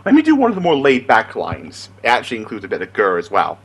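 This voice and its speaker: Casual male creator, informal rambler, animated intonation, addressing viewers. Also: on the low side, quick pace, vocal fry, breathy edge. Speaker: amateur voice impressionist, video creator.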